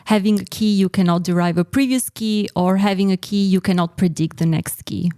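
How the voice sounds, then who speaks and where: A woman explaining her research as a podcast guest, pausing hesitantly in mid-sentence as she goes. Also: mid-range voice, mellow, no room sound. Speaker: guest researcher in secure messaging.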